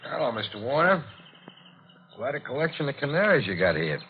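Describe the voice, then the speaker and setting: A man plays a shrewd American police lieutenant from old radio mystery, needling and falsely casual. His folksy, offhand talk moves up and down with lively inflection. The vintage recording is dull, with faint noise. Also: mid-range voice, a little fast, natural voice. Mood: probing. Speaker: actor in radio drama. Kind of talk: 1940s radio mystery acting.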